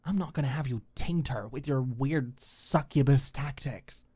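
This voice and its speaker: A woman's voice: a bass voice, measured pace, dark tone, slightly rough, full character voice. A woman voicing a hot-tempered, protective, indignant young woman in an audiobook. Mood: angry. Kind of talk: audiobook character acting.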